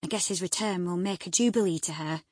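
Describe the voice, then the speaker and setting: Woman acting a firm, deferential housekeeper, slightly husky. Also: fairly low pitch, quick pace, a little mellow, dry recording, studio quality. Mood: gently scolding. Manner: respectful, cautioning. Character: deferential but firm female housekeeper servant. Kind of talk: audiobook character acting.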